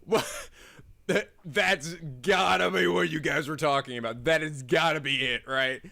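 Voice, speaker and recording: A man in his twenties doing let's play commentary, loud and exasperated, shouting and swearing. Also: on the high side, unhurried, creaky.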